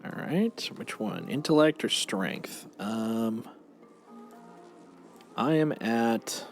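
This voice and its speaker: A man's voice: medium pitch, lively intonation, drawn-out words, faint music behind. A man chatting casually and playfully with friends as he streams a game live. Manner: playful, banters and mock-pleads. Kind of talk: casual gameplay chat with friends.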